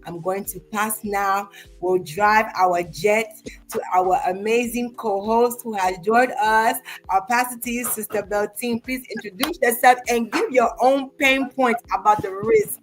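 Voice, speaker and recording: A woman's voice: a little high, unhurried, faint music behind. A woman hosting an informal motivational livestream, speaking emphatically and addressing listeners in a familiar way. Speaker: host of a livestream.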